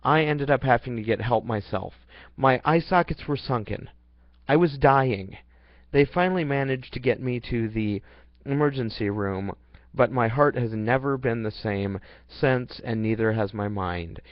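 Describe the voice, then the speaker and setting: A man's voice: mid-range voice, a little slow, no room sound. A young man reading his personal life story aloud in an earnest, plain way, now and then stumbling and restarting. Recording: dull, dry lo-fi recording.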